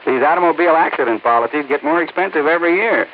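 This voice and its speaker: An actor playing a blustering, gruff husband in an old radio advertising skit. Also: male voice, on the high side, rapid-fire, close to an everyday voice. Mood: blustery. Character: blustering middle-aged husband. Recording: dull old radio recording with hiss.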